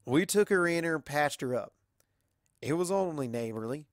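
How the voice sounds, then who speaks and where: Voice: medium pitch, animated, normal speed, no echo, clean studio sound, close to an everyday voice. A performer voicing a proud, hardworking female country pony in a storybook, with a folksy, plainspoken manner. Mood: warm recounting.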